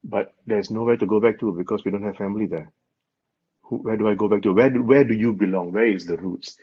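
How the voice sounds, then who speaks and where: A man's voice: fairly low pitch, fast, husky. A man from Malaysia, a guest in an interview, explaining in an earnest way and laughing often. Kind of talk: conversational interview. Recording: dull lo-fi sound.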